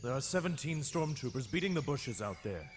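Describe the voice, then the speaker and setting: An actor playing a commanding imperial captain in an audio comic, authoritative and decisive. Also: male voice, mid-range voice, sing-song, brisk, soft background music, natural voice. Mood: impatient, commanding.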